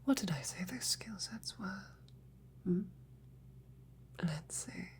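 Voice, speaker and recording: A woman playing a devoted, flirtatious female knight in an intimate roleplay, with a breathy, husky, often whispering voice and courtly phrasing. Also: medium pitch, lively intonation, slow pace, a little hiss or hum. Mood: teasing, flirtatious. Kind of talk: intimate roleplay audio, ASMR.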